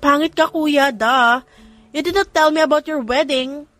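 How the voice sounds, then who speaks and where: A woman's voice: high voice, unhurried, fairly bright tone, soft background music, pitched above the performer's own voice. A woman with a Filipino accent voicing a sassy, indignant youngest sister in an audio story, bratty and challenging.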